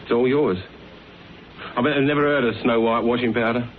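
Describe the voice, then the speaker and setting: On a noisy, narrow-band old radio recording, a man plays a young male sidekick to a detective. He talks fast and informally, with a cheeky, doubting manner. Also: mid-range voice, steady tone, natural voice. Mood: teasing, sceptical. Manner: brisk, informal, cheekily sceptical. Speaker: actor playing the detective's assistant. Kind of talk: classic radio drama acting.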